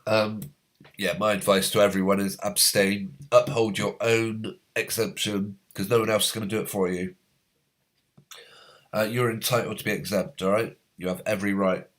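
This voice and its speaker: A man hosting a live stream, reading out viewers' comments in a halting, rambling way, his voice going hoarse as a cold comes on. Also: fairly low pitch, little pitch movement. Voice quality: slightly hoarse. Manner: rambling, halting, many fillers.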